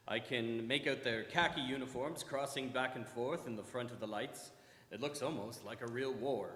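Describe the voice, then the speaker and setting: In a reverberant hall, a man acts out an army captain giving a battlefield report. The male voice sits at a medium pitch and plays to the room in the manner of live radio drama. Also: fairly bright tone, close to an everyday voice. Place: live performance in a church. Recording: echoey church hall live performance.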